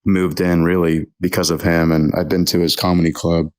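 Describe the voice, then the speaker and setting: A young man in his twenties with a deep voice that stays level and rarely rises or falls. He talks casually as a podcast guest, hesitant and full of ums and restarts, in a steady monotone. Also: fairly quick. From Texas. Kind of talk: casual interview chat.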